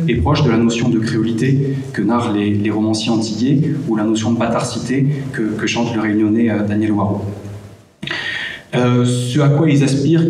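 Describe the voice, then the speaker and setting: A man giving a prepared conference talk with personal anecdotes, in a measured, hesitant way with frequent pauses. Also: medium pitch, warm tone. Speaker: conference speaker from a volunteer hosting association. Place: echoing room at a public conference. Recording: roomy, distant mic, faint background noise.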